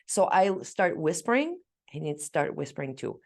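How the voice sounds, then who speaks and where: A middle-aged woman with a slightly low voice talks casually and emphatically. She is an animated storyteller who slips into quoted dialogue, like a parent swapping tips with a co-host. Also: normal speed, gravelly. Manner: talkative, emphatic, self-described loud speaker. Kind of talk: casual conversational talk.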